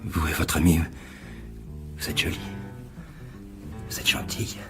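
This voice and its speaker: An actor playing a disturbed killer in a radio thriller, hesitant and repetitive, apologising with soft menace and obsession in a breathy, unsteady voice. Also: male voice, rumbling low, steady tone, measured pace. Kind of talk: French radio thriller acting. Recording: music behind the voice.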